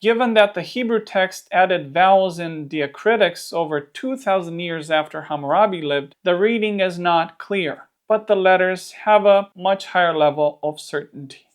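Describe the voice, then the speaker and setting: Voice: mid-range voice, moderate pace, hardly any pauses. Romanian-accented presenter explaining Bible history. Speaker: host of a Bible history channel. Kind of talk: scripted educational narration.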